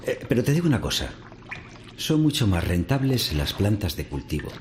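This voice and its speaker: A middle-aged, world-weary farm veteran in a radio drama. He has a low voice and a Castilian Spanish accent, over faint noise. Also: sing-song, moderate pace. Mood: resigned, cynical. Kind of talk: radio drama acting, conversational. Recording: faint background noise and ambience.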